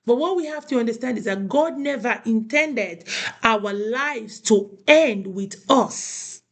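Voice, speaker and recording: A woman's voice: fairly high pitch, lively intonation, slow. A woman from Nigeria giving a motivational talk, preaching and repeating key phrases for emphasis. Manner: asks listeners "do you understand?". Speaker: host of a women's motivational show.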